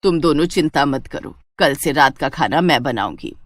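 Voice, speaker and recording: A woman's voice: low voice, animated, moderate pace, noisy background, voice pushed down. A woman voicing a stern, fed-up elderly mother-in-law with a weary, authoritative manner in a family story. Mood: reassuring.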